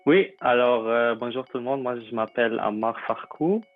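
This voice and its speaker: A man's voice: mid-range voice, moderate pace, soft background music. Hesitant Syrian man, tinny line. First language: Arabic. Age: young adult. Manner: hesitant, many fillers and restarts. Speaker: guest. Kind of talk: podcast interview.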